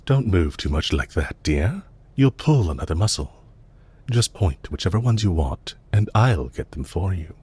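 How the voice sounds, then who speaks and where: Genteel villain captor for audio roleplay, played by a man: low, breathy voice, formal, solicitous, quietly in command. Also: very animated, exaggerated voice. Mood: solicitous, gentle. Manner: polite, measured, formal, softly commanding. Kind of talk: scripted roleplay audio drama.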